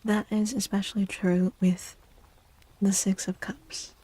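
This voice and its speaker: A woman reading tarot in a soft-spoken ASMR style, gentle and deliberate, often close to a whisper, with pauses and repeated phrases. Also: medium pitch, little pitch movement, husky, dry recording. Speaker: streamer, tarot reader.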